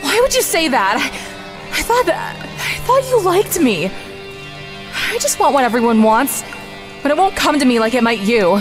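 A woman's voice: sits high, sing-song, a little slow, music in the background. A woman playing a lonely, possessive, childlike snake woman in an audio drama. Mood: hurt, upset.

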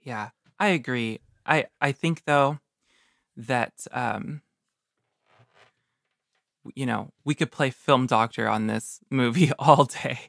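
Measured man discussing film, dry sound. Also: medium pitch, gravelly, fairly bright tone, no room sound. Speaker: podcast panelist.

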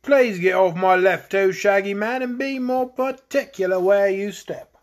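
A gruff, boastful dragon from a children's storybook, voiced by a man, pitched fairly high for a male. He is commanding and grumbly, a great beast sure of his own strength. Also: measured pace. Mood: grumbling. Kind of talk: storybook character voice in narrated children's fantasy.

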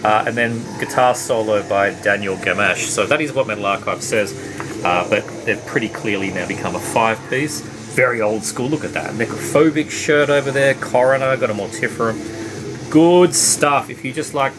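A middle-aged man chatting casually about his records at home, relaxed and rambling, with many uhs and ums. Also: medium pitch, normal speed. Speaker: vinyl collection vlogger. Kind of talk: casual record review chat. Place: home room lined with CD shelves. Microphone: camera at desk distance. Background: background hum, faint tape music.

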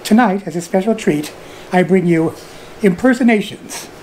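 An older man introducing an online screening as host, speaking to camera in a measured, deliberate way. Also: high-pitched, a little mellow, rough recording. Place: small room at home. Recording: clear background noise.

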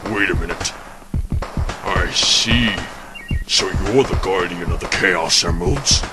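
Man acting deep-voiced, menacing villain, grandiose and cruel. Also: slow pace. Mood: smug menace. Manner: taunting, grandiose. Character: menacing male villain spreading darkness, cold and cruel. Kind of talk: amateur audio drama acting. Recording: voice under loud music.

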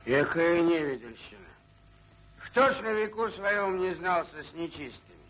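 An actor playing a plump, hearty old Cossack crony in an old cartoon, teasing and folksy. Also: male voice, a little high, normal speed. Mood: teasing, curious. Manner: animated, folksy banter. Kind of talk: theatrical Soviet cartoon acting. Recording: dull old film soundtrack.

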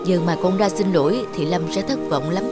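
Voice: medium pitch, animated, fairly quick, natural voice. An actor voicing a playful, kindly father in a cartoon, teasing yet reassuring. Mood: caring, encouraging. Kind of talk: cartoon dubbing. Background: music.

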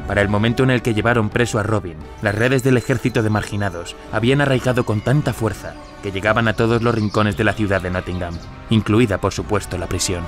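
An actor playing a teasing outlaw storyteller in an audio drama, wry and theatrical. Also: male voice, on the low side, quick pace, music in the background, close to an everyday voice. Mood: storytelling.

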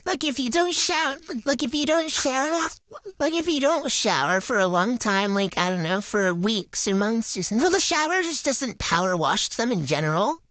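A woman's voice: a little high, moderate pace, a bit bright, dry recording. A woman voicing characters in a game video with playful improvised banter, giggly and boastful, full of teen slang. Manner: giggly, silly, bragging, talks in teen slang.

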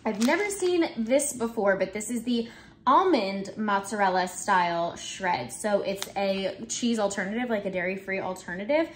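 A woman in her twenties chatting casually in her home kitchen, relaxed and full of ums and likes, filmed by a camera in front of her. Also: medium pitch, normal speed, a little hiss or hum. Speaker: vlogger. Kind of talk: casual grocery haul chat. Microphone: camera in front, close framing.

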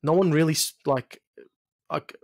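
A man in his late thirties from Egypt and Australia, a podcast guest sharing personal stories in a reflective, halting way with repeated phrases. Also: a little high, fairly even intonation, vocal fry. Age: late 30s. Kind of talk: personal storytelling in conversation. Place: small home studio, indoors. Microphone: close podcast mic on arm.

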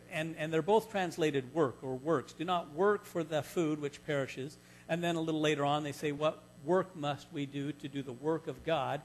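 Man in his fifties, pastor, earnest teaching sermon on a headset mic in a church hall, light mains hum. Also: fairly high pitch. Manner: earnest teaching, rises loud when reading scripture. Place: church hall stage, indoors.

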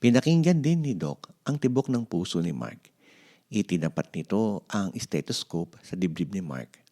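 A man with a Filipino accent reading a children's story aloud as an expressive storyteller. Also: deep voice, normal speed.

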